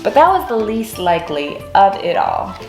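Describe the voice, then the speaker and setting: Lady reading a kids book out loud, lively and slow, echoey room w/ faint music. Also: mid-range voice. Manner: animated, expressive storytelling. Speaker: read-aloud storyteller. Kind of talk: picture-book read-aloud.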